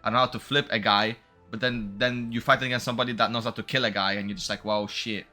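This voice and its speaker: A man giving casual, excitable, slangy game playthrough commentary, switching between reading dialogue aloud and reacting. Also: on the low side, quick pace, faint music behind. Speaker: game streamer.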